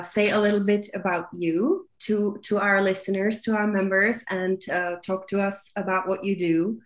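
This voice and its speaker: A woman moderating an online panel over a video call, halting with fillers and restarts and laughing easily. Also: mid-range voice, roomy sound. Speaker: webinar moderator.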